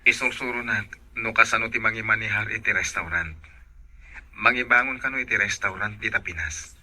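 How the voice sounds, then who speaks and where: Casting: a tender migrant-worker husband, Filipino accent, piercing timbre, low register, radio drama. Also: male voice, a little fast, sharp tone. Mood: apologetic, tender. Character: affectionate husband working abroad, phoning his wife. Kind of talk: Ilocano radio drama acting.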